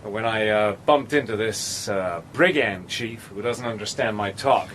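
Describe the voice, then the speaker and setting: An actor playing a bluff adventurer who puts on a casual, drawling manner and also narrates the story. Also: male voice, lowish voice, normal speed, slight background noise, lightly acted. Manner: drawling, casual bluster in dialogue. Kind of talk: radio drama narration and dialogue. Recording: loud music score behind voice.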